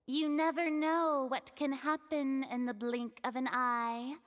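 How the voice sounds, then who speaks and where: Theatrical young female deity, extremely high voice, slow grand delivery, pompous courtroom showmanship, video game character. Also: flat delivery. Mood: smug, confident. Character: theatrical, haughty young female hydro archon presiding over a trial. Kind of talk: dramatic video game voice acting.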